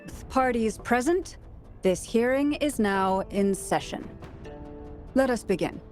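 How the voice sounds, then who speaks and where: A female actor playing a stern, composed magistrate who speaks in a formal, authoritative, measured way. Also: a little high, lively intonation, a little mellow, faint music behind. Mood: official.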